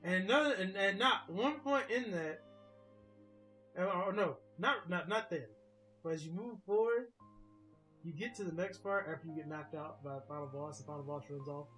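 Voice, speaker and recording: A man's voice: high-pitched, normal speed, pushed and bright, soft background music, poor audio. A man giving casual gaming commentary in a rambling, argumentative way, with some swearing. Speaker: commentator on a video game let's play.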